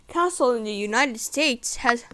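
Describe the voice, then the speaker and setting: Slow, halting, high-voiced woman rambling. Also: expressive, a bit bright, a little hiss or hum. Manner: halting, repetitive, searching for words. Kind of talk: rambling improvised news-like narration.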